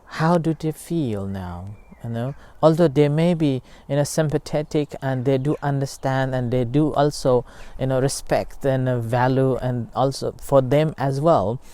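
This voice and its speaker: Mellow-voiced man giving a halting dharma talk. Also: mid-range voice. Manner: halting, many fillers and restarts. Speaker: meditation teacher.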